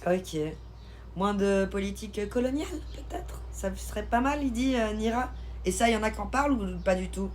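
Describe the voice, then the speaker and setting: A woman from Switzerland chatting casually on a live stream, reading and answering viewers' comments in an informal, slangy, expressive way. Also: mid-range voice, fairly quick. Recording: live stream with clear background noise.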